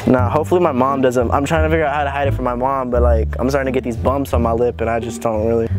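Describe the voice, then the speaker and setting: A young man in casual, slangy, deadpan chat, recorded in a large store as a prank video host. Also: mid-range voice, racing, music in the background. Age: young adult. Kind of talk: casual prank chat, staged phone calls. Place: large busy retail store.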